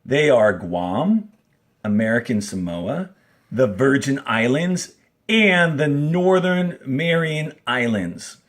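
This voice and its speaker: A man teaching a grade-school reading lesson, explaining step by step and reading aloud, with pauses on key words. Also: medium pitch, animated. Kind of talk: instructional lesson with passage read aloud.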